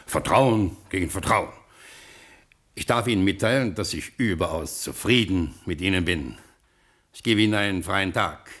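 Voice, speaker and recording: A pompous master of the house in a radio drama. His voice is very low, and he talks stiffly and ceremoniously, with the hesitations of an uneasy, suspicious husband. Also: moderate pace, a bit bright. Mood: uneasy, resigned. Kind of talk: German radio play acting.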